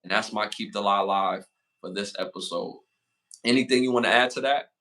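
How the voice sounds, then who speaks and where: A man in his forties hosting a casual podcast, giving motivational advice and shout-outs, repeating phrases for emphasis. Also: lowish voice. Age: 40s. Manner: hypes the chat with rapid shout-outs. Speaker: podcast host.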